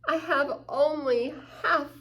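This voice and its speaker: Slow, higher-voiced woman reading to children. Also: steady tone, fairly bright tone. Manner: slow, deliberate, acting out quoted lines; simple explanatory teaching tone for young children. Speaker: story time host reading a picture book. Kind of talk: expressive children's read-aloud with asides to listeners.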